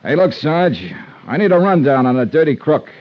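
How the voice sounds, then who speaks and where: Hard-boiled American investigator, wisecracking, swinging intonation, clipped slang, vintage radio drama, muffled recording. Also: male voice, on the high side, very animated, moderate pace. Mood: playful. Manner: wry, slangy, clipped tough-guy delivery. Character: hard-boiled wisecracking male insurance investigator, world-weary but playful. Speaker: lead actor and narrator. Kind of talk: 1950s radio detective acting and first-person narration.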